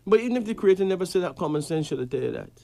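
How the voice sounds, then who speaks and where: Caribbean male, host of a casual talk, higher-than-average pitch, insistent reasoning with repeated phrases. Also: animated, very fast, vocal fry, dark tone. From Barbados. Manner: emphatic, repeats phrases for effect.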